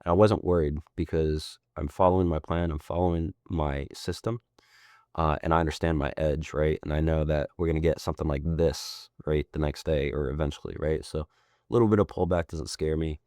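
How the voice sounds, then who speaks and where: Very deep-voiced man, hoarse from illness. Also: steady tone, a little fast, darkish tone, no echo, clean studio sound. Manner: loose, rambling, frequent tag questions. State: hoarse, sick and losing his voice. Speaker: trading mentor. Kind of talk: casual chart walkthrough.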